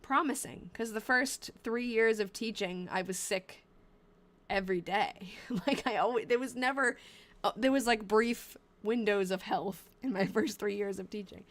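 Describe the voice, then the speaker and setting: A woman's voice: medium pitch, moderate pace. A woman in her twenties with a stuffy nose and a fading voice, chatting casually on a live stream and reading short diary lines aloud between her comments. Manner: chatty, breaks off mid-sentence, reads short diary lines then comments. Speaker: livestream host reading old diaries.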